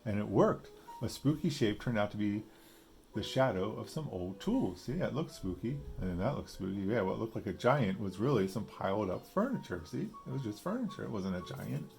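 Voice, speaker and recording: A man's voice: on the low side, big pitch swings, moderate pace, vocal fry, a little mellow, faint music behind. A man reading a picture book aloud with casual asides, explaining simply as if to a young child. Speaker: storybook reader.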